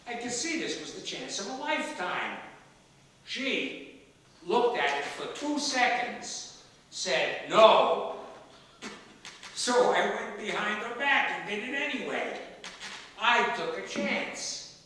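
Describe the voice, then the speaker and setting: Guy acting as a stubborn feisty 97 yr old dad in a stage play, blunt and forceful, bright voice, recorded from way back in an echoey hall. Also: sits high, animated, slow pace, pauses often, exaggerated voice. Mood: emphatic, indignant. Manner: emphatic, argumentative, repeats words for force. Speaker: stage actor. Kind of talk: one-act stage play dialogue. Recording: echoey hall from far off, faint noise.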